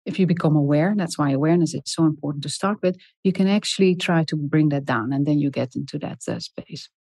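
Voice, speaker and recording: A middle-aged woman speaking in a calm, soft, deliberate way as a podcast guest, with many small pauses and ums. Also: sits low, dry recording. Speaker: guest expert on leadership. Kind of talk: podcast interview.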